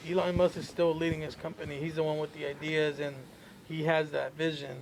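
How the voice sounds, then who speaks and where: A man's voice: on the high side, level tone, brisk, vocal fry, a little hiss or hum, rough recording. A man co-hosting a podcast, explaining things earnestly in casual chat. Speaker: podcast co-host.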